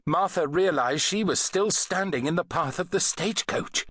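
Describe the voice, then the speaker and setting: A man reading an adventure novel as an audiobook narrator, in an expressive, dramatic storytelling manner. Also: high-pitched, moderate pace, no echo, studio quality.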